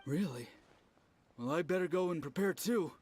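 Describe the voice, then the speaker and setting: An actor voicing a curious, eager young townsperson in a video game, with a husky voice. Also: mid-range voice, expressive, moderate pace, darkish tone, soft background music.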